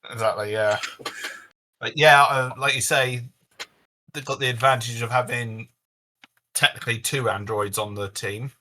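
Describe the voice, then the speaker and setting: A man's voice: medium pitch, steady tone, moderate pace, pauses often, bright, forceful tone, a little hiss or hum. A man from Cornwall co-hosting a casual podcast, hesitant with many fillers and wry. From Cornwall, England. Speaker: co-host.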